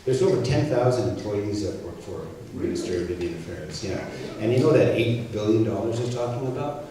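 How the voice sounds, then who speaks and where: A man's voice: lowish voice, expressive, brisk, crowd noise. A man with a Canadian accent speaking as a guest, answering audience questions in a conversational, explanatory way with hesitant starts. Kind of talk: answering audience questions after a public talk. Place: church hall. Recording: very echoey hall, distant mic, faint noise.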